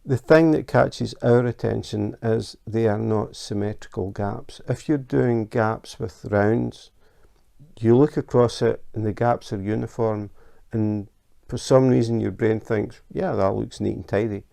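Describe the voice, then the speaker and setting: A Scottish man hosting a casual how-to craft vlog, chatty and relaxed, with frequent ums and uhs. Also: on the low side, measured pace, gravelly. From Scotland. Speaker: YouTube craft channel host. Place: his home in Denmark.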